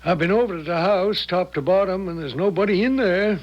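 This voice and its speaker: A plain-spoken male sheriff from a small town in a 1970s radio play. His voice is unusually high and his manner folksy and hesitant. The sound is dull. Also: a little fast. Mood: obliging. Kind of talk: 1970s radio drama acting. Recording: dull old radio drama recording.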